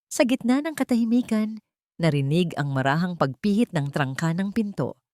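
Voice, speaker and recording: A woman's voice: lowish voice, animated, moderate pace, a little mellow, dry recording, clean studio sound. A woman with a Filipino accent reading a scripted story aloud as a dramatic audiobook narrator.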